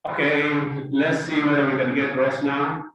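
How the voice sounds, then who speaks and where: A man's voice: a little high, level tone, normal speed, a bit bright, dull audio. A man moderating and asking clarifying questions informally. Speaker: host and moderator. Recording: echoey room, distant microphone.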